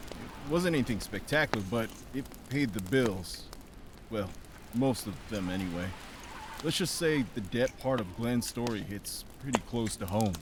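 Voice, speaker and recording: A man as an understated, mid-pitched survivor. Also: close to an everyday voice. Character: modest, plain former shoe salesman, now a survivor. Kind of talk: comic dub acting. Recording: noisy background with clicks.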